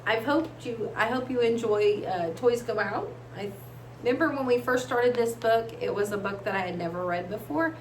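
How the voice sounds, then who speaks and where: A woman reading aloud to children like a teacher, expressive and encouraging. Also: on the high side, normal speed. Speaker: story reader, teacher. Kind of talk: read-aloud storytelling for children. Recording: echoey room, faint background hiss.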